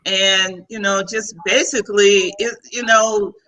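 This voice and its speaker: A woman's voice: mid-range voice, fairly even intonation, unhurried, bright, forceful tone, low-quality recording. A woman hosting a talk show panel in a chatty, casual way over a video call, often given to laughing. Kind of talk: casual chat moderating a panel.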